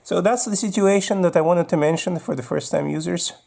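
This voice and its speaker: A man's voice: high voice, fairly quick, creaky, a little mellow. Russian-accented man explaining plainly. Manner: plain, practical explaining while handling gear. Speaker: firearms video host. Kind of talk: casual instructional talk.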